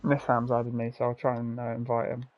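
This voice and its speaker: Hoarse young man calling a race. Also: medium pitch, completely flat delivery, brisk, dull audio. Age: teens. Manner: shouts calls at the finish, then reads results and chats loosely with fillers. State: hoarse, voice nearly gone. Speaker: race commentator and stream host. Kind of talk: excited sim-racing commentary and casual chat.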